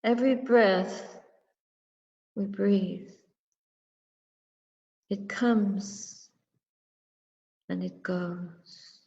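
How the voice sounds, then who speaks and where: Very slow, mellow woman guiding meditation. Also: medium pitch, vocal fry. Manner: contemplative, measured, short phrases with long pauses. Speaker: Buddhist nun and meditation teacher. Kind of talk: guided meditation and dharma talk. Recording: muffled recording with long reverberant echo.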